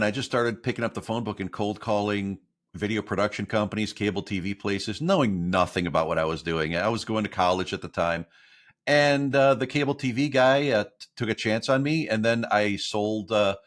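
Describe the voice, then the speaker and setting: Low-voiced earnest voiceover man. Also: fairly bright tone. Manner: earnest, gets emotional about his work. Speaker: voiceover artist, guest. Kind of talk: casual interview talk.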